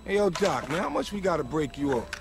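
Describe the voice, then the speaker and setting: High-voiced streetwise young sidekick. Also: male voice, lively intonation, fast, music in the background. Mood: brash, joking. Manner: slangy street talk. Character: loyal young streetwise hip-hop sidekick, excitable.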